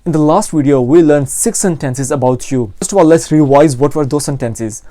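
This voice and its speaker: Male online language teacher, Pakistani accent, soft mellow tone, close dry sound, explanatory and repetitive for beginners. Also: a little high, dry recording. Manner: explanatory, repeats phrases slowly for learners. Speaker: language teacher and channel host. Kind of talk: informal language lesson.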